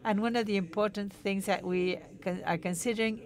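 A woman giving live interpretation of a technical conference talk, measured, with pauses and self-corrections. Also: mid-range voice, fairly even intonation.

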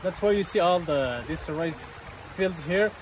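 A man's voice: fairly high pitch, a little slow. A man in his thirties hosting a casual travel vlog outdoors, chatting to viewers as "guys" with the camera at arm's length. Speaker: travel vlogger. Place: outdoors by a waterfall and rice fields. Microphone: handheld camera at arm's length. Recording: noisy outdoor recording.

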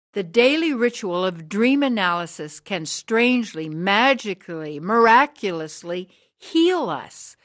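A woman playing a driven, earnest sixty-year-old sage in a radio drama, speaking in deliberate, solemn oratory. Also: medium pitch, fairly bright tone. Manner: declamatory, preaching, deliberate.

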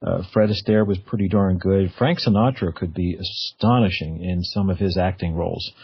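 A male radio host with a low, husky voice talks casually in an interview. His phrasing is hesitant, with repeated words. The recording is slightly dull. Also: steady tone, normal speed. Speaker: radio talk show host.

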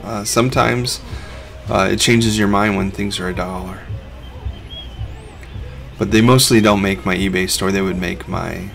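A man's voice: low voice, fairly even intonation, measured pace, vocal fry, soft background music. A middle-aged man, a reseller who hosts a vlog, narrating over his footage in a laid-back, conversational way.

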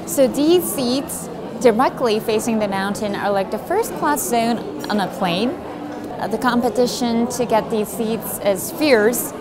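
A woman's voice: on the high side, a little slow, a crowd in the background. A young woman in her twenties hosting an upbeat travel vlog, walking through cafes and streets, bubbly and exclamatory with gasps of delight. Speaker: travel video host. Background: background music.

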